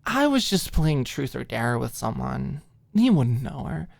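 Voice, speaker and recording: A woman's voice: extremely low pitch, very animated, unhurried, gravelly, darkish tone, no room sound, full character voice. A woman voicing a shameless, tipsy young woman who teases dryly, playful and mock-casual, in audiobook dialogue. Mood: teasing, coy.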